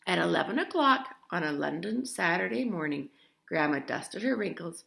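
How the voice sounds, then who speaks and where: A woman's voice: on the low side, big pitch swings, normal speed, vocal fry, a bit bright, a little hiss or hum. A woman reading a children's story aloud in a theatrical, emphatic way, with chatty asides to the listener. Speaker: storybook reader.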